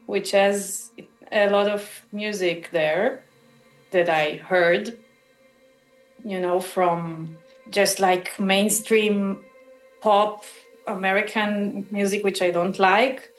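Female musician interviewee, Israeli accent. Unhurried, thoughtful, halting delivery, slightly echoing room. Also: mid-range voice, steady tone, soft background music. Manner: halting, searching for words, pausing mid-phrase. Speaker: musician and composer interviewed about their work. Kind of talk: casual, reflective interview answers.

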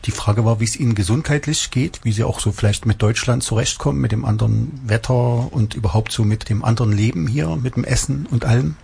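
A man's voice: mid-range voice, fast talker, creaky, hardly any pauses, warm tone, slightly rough. A man asking questions as a radio interviewer.

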